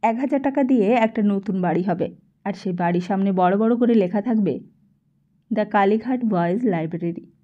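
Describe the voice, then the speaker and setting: A woman narrating a literary audiobook in an expressive, reflective storytelling manner. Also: medium pitch, fairly quick, phone-quality sound.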